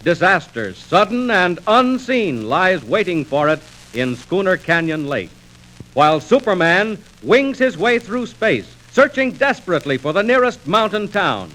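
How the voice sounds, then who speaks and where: High, theatrical male radio serial narrator. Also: sing-song, unhurried, fairly bright tone, rough recording. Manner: urgent, theatrical. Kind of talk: 1940s radio dramatic narration. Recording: old radio record with hiss.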